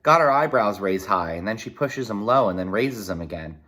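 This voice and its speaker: A man reading a children's novel aloud in a folksy, expressive way, with short explanatory asides. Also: lowish voice, normal speed. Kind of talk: read-aloud narration with brief explanatory asides.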